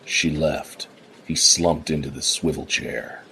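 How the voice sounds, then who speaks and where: A man narrating a noir radio play in a measured, even storytelling way. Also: a bass voice, creaky, background noise. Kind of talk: scripted narration.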